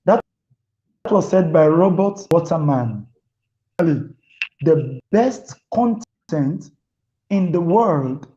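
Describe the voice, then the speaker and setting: A man hosting a live motivational talk show, speaking slowly and deliberately. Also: sits high, warm tone, highs cut off. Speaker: host.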